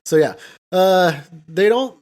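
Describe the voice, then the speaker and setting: A man's voice: sits high, lively intonation, slow pace, darkish tone. A man hosting a podcast, recapping a film in a casual, rambling way with loose mimicked dialogue. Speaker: podcast host.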